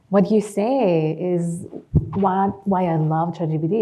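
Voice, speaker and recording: A woman's voice: fairly low pitch, warm tone. A woman from Greece with a Greek accent, speaking enthusiastically as a panelist in a discussion. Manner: enthusiastic, with frequent fillers.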